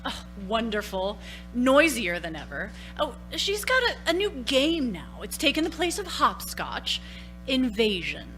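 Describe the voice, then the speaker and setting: Concerned mother, bright voice, animated intonation, polite, motherly, radio drama. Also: a little high, measured pace, soft background music, low-quality recording. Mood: friendly. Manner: polite, motherly, sometimes hesitant. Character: pleasant, concerned housewife and mother. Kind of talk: radio play acting.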